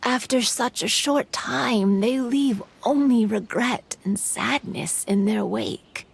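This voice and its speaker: A woman's voice: on the high side, slow, gravelly, a little hiss or hum. A woman voicing a wistful yokai spirit in a girl's form, earnest and reflective, in a video game.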